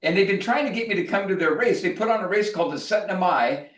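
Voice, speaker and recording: Man, podcast storyteller, animated, laughs often, roomy distant recording. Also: high-pitched, fast. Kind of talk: casual chat and anecdote. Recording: roomy sound, distant from the mic.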